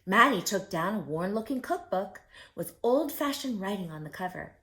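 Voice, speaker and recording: A woman reading a picture book aloud for preschoolers, expressive and speaking directly to her young listeners. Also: mid-range voice, normal speed. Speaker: children's story reader.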